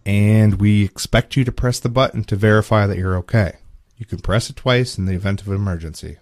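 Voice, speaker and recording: A stern head nurse in an audiobook story, acted out by a woman, with a very low voice and a close, dry sound. The delivery is formal and procedural, strictly by the book. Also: a little fast, a little mellow, no echo. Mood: firm, formal.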